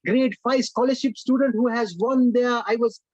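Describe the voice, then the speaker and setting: A man from Sri Lanka who speaks English as a second language, leading a speech-writing workshop with animated emphasis and frequent direct address to his audience. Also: extremely high pitch, normal speed, no echo, muffled recording. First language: Sinhala or Tamil. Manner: animated, emphatic, repeats "my dear friends". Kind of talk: online workshop lecture.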